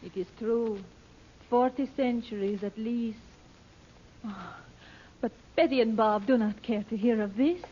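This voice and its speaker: A woman's voice: on the high side, unhurried, long pauses, warm tone, breathy edge. A woman playing a dignified, solemn princess with formal, stately phrasing in an old radio serial. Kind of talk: 1930s-40s American radio serial acting. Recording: muffled old radio recording with hiss.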